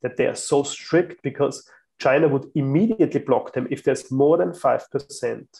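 Guy from austria on a video call, gravelly voice, stops and restarts a lot. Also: medium pitch, moderate pace, warm tone. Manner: hesitant, many restarts. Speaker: guest. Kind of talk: casual chat.

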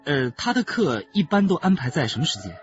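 A man's voice: fairly high pitch, very animated, fairly quick, muffled recording. An actor playing a shrewd, genial male police detective in a radio drama. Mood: inquiring. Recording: band-limited radio drama with music behind.

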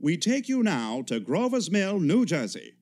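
A man's voice: fairly high pitch, wildly swooping pitch, a little slow, darkish tone, soft background music, full character voice. An actor playing a formal, declamatory male news announcer reading bulletins in a 1930s-style radio play. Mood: urgent, formal.

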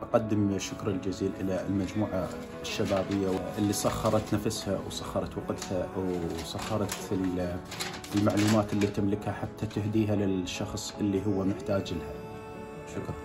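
A native Arabic-speaking man gives formal thanks in a deep voice. He talks extremely quickly, with loud music behind him. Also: little pitch movement. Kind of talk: formal thank-you testimonial. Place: plain indoor room.

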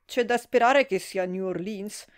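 A woman voicing a brash, gossipy middle-aged woman who speaks in shrill, exclamatory outbursts. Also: a little slow, lightly acted.

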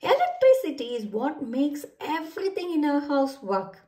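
A confident daddy pig from a children's storybook, read by a woman in her own high register. He explains and reassures with lively intonation, heard a little away from the mic. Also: moderate pace. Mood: reassuring. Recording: roomy, distant microphone.

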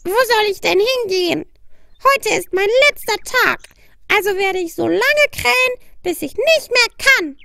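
A woman voicing an excitable, dramatic rooster in a children's cartoon, creaky and squawky. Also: very high voice, unhurried, a little hiss or hum, full character voice. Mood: dramatic despair. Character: excitable doomed farm rooster, loud and dramatic. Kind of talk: children's fairy tale cartoon.

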